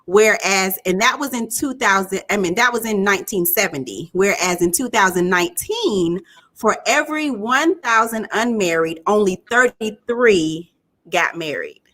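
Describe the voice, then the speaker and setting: A woman's voice: mid-range voice, expressive, moderate pace, fairly bright tone. A woman in her forties hosting a casual live chat as a relationship coach, upbeat and often laughing. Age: 40s.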